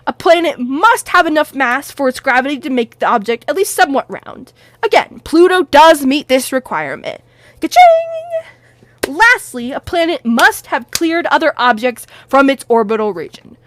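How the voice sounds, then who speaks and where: A woman's voice: high voice, sing-song, moderate pace, fairly bright tone. A woman voicing a snarky star-child space host. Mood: snarky showy. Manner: theatrical, snarky, bursting with exclamations. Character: sassy, showy star-child host of a cosmic radio show. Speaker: podcast host character. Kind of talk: scripted fiction podcast.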